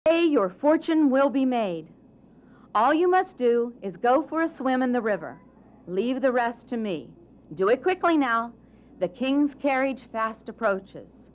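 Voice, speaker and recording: A bossy, eager talking tomcat from a storybook, as a woman storyteller puts him on. The voice sits high and swings widely in pitch. He talks with urgency, exclaiming and giving orders like a born schemer. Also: animated, a little slow, dull audio, slight background noise. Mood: scheming, instructing. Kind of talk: children's fairy-tale storytelling.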